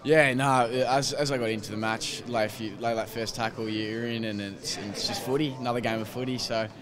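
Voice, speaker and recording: A man's voice: medium pitch, steady tone, a little fast. A young Australian man, a footballer in a post-match interview, talking hesitantly with many fillers and restarts into a handheld mic. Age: young adult. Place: team rooms by a sponsor wall, crowd noise behind. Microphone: handheld microphone held by interviewer. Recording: crowd noise behind.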